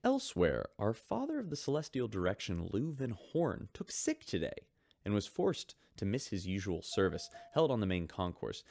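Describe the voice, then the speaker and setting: A man's voice: lowish voice, huge pitch swings, normal speed, creaky, a little mellow, dry recording, heavily acted. A man voicing a chatty, wry radio news host aboard a starship, reading scripted reports.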